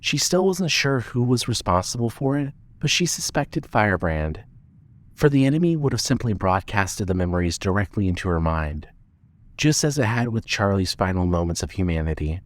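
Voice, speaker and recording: A man's voice: fairly low pitch, sing-song, normal speed. A man narrating a scripted dramatic story as an audiobook-style narrator.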